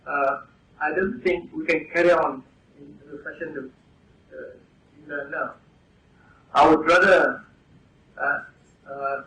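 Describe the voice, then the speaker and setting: A man presiding as judge, speaking hesitantly with many pauses in a formal hearing. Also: on the high side, vocal fry, a bit bright, a little hiss or hum. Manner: hesitant, full of pauses and uh's. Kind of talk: formal courtroom procedure. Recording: echoey courtroom, from far off.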